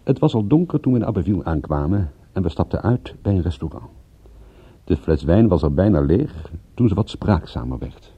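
Wry detective narrator, really deep voice, musing, bit muffled like old radio drama. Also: male voice, big pitch swings, mellow, a little hiss or hum. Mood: brooding, wry. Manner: musing, measured storytelling. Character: wry, pensive male private detective. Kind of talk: radio play first-person narration.

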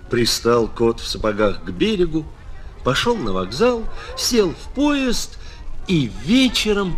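A man narrating a children's tale in an expressive, dramatic and deliberate storytelling style. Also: mid-range voice.

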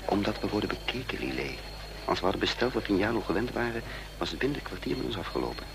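An actor playing a wily, dry, conspiratorial fugitive agent in a Dutch radio play. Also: male voice, fairly low pitch, fast, creaky. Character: wily male fugitive agent, sardonic, protective of his companion. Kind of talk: Dutch radio play acting and first-person narration. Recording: noisy radio play recording.

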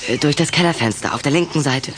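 A man's voice: a little high, fast talker, fairly bright tone, slight background noise, natural voice. A man playing an earnest, suspicious boy in a children's radio play, hesitant and prone to stammering. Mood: hesitant, hopeful. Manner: hesitant, stammering when flustered.

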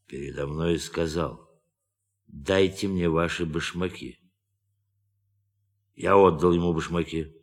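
An actor in an audio drama playing a brooding, idealistic astronaut who has turned killer, speaking in a measured, deliberate way. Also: male voice, deep voice, fairly bright tone, long reverb tail. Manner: measured, deliberate, long pauses. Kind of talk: Russian audio drama acting.

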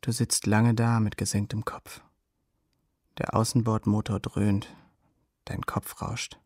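An actor playing the watchful inner voice of a young soldier, narrating a radio drama in terse, observing phrases. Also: male voice, lowish voice, little pitch movement, slow, darkish tone, dry recording. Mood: hushed, intense. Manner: terse, present-tense, observing. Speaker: second-person narrator. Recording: studio radio play with guitar underneath.

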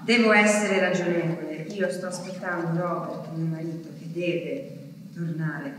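A distant, heavily echoing hall carries an actress playing an anguished wife waiting for her husband to come back from war. She speaks her diary as a stage monologue, in short, deliberate fragments. The recording is noisy and far from the microphone. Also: fairly low pitch, fairly even intonation, close to an everyday voice. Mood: subdued, resolute. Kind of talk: dramatic theatrical diary monologue.

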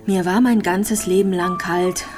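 A gravely ill young woman, played by an actress in a German radio play, with a lowish voice. She speaks softly and haltingly, melancholic and quietly fearful, with music clearly behind her. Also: steady tone, natural voice. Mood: reminiscing. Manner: soft, halting, introspective. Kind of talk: radio drama monologue.

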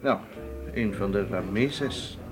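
A man's voice: fairly low pitch, slow. A man playing an investigator's plain-spoken, sceptical companion in a radio drama, talking informally. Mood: casual, modest. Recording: radio play with guitar music underneath.

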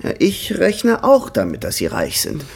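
An actor playing a cheerful, carefree bohemian artist of almost thirty in a German radio drama, buoyant, teasing and husky. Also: male voice, high-pitched, wildly swooping pitch, a little slow, full character voice. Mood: teasing, enthusiastic.

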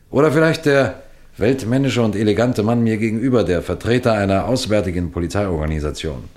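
Man playing a calm veteran detective in a radio crime story, real deep voice, measured n suspenseful, polite. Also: close to an everyday voice. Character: seasoned, composed male detective superintendent telling his story. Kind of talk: radio crime drama narration and dialogue.

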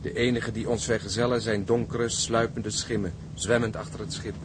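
A man's voice: lowish voice, little pitch movement, moderate pace, close to an everyday voice. Man acting sober sailor narrating a grim sea diary, radio play, noisy background. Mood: grave, brooding. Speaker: narrator and ship's officer keeping a diary. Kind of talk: audio drama, dramatic diary narration. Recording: clearly noisy audio track.

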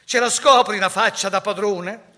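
An actor playing an irreverent Roman commoner, reciting dialect verse in a mocking, colloquial, emphatic way with a Roman accent. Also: male voice, sits high, moderate pace, pushed and bright. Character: irreverent Roman commoner man sizing up the Pope.

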